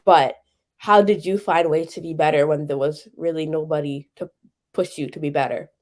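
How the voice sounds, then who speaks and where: A man's voice: on the high side, fairly even intonation, moderate pace, slight background noise. A man co-hosting a talk show, thoughtful and a little hesitant as he speaks. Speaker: co-host. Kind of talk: casual talk show chat.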